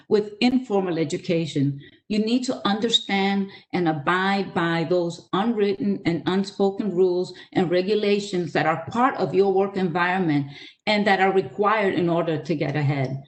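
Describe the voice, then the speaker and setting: An older woman, from the Dominican Republic by way of New York City, gives a measured career talk over a video call. She speaks earnestly in a mid-pitched voice, with frequent filler sounds. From Dominican Republic, raised in New York City. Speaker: guest speaker, author. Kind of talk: webinar presentation.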